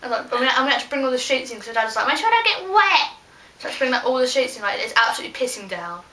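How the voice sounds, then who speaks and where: Bright, high-voiced young British woman, roomy sound. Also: racing. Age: teenager to young adult. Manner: animated, giggly, informal. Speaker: vlog host telling stories. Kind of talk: casual chat storytelling with a friend. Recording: roomy echo, distant mic, faint noise.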